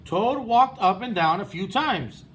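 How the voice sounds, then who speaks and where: A man's voice: high-pitched, lively intonation, unhurried, a bit bright, a little hiss or hum. A man speaking as a first-grade teacher, narrating a read-aloud for young children.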